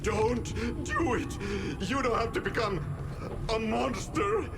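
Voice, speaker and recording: A man's voice: very high-pitched, big pitch swings, takes it slowly, creaky, loud music behind the voice, heavily acted. An actor playing a frightened film actor begging for his life in a video game, stammering and pleading. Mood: terrified, pleading. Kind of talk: surreal video game drama acting. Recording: noisy ambience behind the voice.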